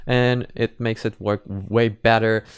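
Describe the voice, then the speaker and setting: Hesitant man rambling in a dry, clear recording. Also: fairly low pitch, level tone, slow, no room sound. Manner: hesitant, rambling thinking aloud. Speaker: host of anime reaction videos. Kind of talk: casual off-the-cuff commentary.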